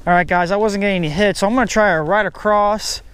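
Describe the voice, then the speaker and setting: A man's voice: high-pitched, fairly even intonation, quick pace, fairly bright tone, clear, resonant tone. A man in his thirties hosting a casual fishing vlog outdoors by a creek, filmed on a head-mounted action camera and talking to viewers. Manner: talks to viewers as "guys". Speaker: fishing channel host.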